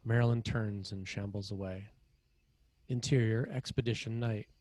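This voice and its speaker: A man with a fairly low voice narrates a screenplay. He reads the stage action in short, measured, dramatic phrases. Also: fairly even intonation, a little mellow, no echo. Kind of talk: scripted screenplay narration, present tense.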